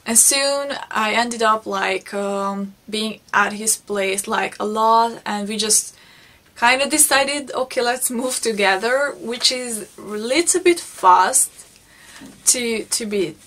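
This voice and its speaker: A young Hungarian woman with a Hungarian accent, telling her life story in English for her vlog, reflective and unhurried. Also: on the high side, a bit bright. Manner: unhurried, reflective, frequent restarts. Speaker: vlogger.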